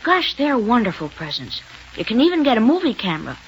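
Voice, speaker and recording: An actress playing an excited, wholesome, wide-eyed kid gushing in an old-time radio advert. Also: female voice, fairly high pitch, fairly quick. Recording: faintly noisy old radio recording.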